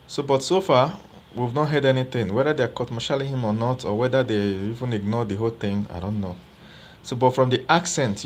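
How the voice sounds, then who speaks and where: A man giving live political commentary as host, chatty and often checking in with viewers. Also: medium pitch. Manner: conversational, asks viewers rhetorical questions.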